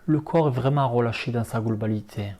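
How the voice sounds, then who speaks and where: A man leading a guided relaxation meditation as an instructor, speaking calmly in short, unhurried instructions. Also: medium pitch. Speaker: yoga nidra relaxation instructor.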